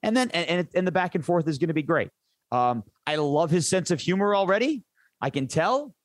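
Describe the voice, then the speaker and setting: A man's voice: a little high, lively intonation, brisk, no echo. Male sports announcer chatting, close dry sound. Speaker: sports radio play-by-play announcer, interview guest. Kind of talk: casual sports interview chat.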